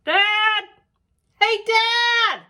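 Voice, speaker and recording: A woman's voice: very high voice, extremely slow, bright, forceful tone, voice pushed up, exaggerated voice. A woman voicing an earnest, slightly exasperated little kindergarten girl in a picture book, blunt and matter-of-fact. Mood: calling out.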